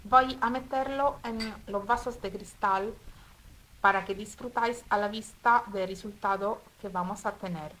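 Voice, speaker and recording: An Italian woman with an Italian accent speaking Spanish as a second language, demonstrating a recipe step by step at home in a careful, slightly halting way. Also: medium pitch, fairly bright tone, a little hiss or hum, rough recording. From Italy. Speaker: cooking video host. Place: home kitchen table.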